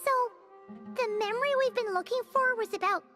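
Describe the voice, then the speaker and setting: A woman voicing a small, cheeky fairy companion in a video game, with a squeaky childlike voice and a teasing, animated manner. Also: brisk, music in the background. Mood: puzzled.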